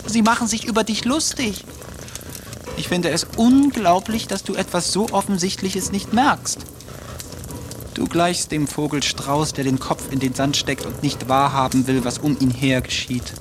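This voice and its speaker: An actor playing a sharp-tongued male house cat in a radio play, biting and reproachful. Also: on the high side, measured pace, noisy background, lightly acted. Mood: mocking.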